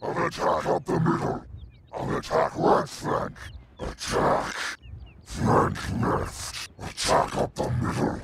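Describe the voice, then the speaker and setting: An actor voicing a huge, menacing dark dragon for a video game, haughty and theatrical, with a growling, roaring delivery. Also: male voice, monotone, slow pace, background noise, exaggerated voice. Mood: commanding. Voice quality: deep, gravelly growling roar. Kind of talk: video game character voice lines.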